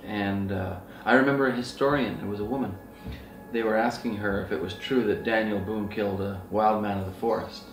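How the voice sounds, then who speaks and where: A man's voice: on the low side, fairly even intonation, a little fast. A middle-aged man talking casually and earnestly in a rambling, conversational storytelling way. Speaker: outdoor outfitter and Sasquatch researcher. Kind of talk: casual rambling anecdotal talk. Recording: roomy echo, distant mic, faint music.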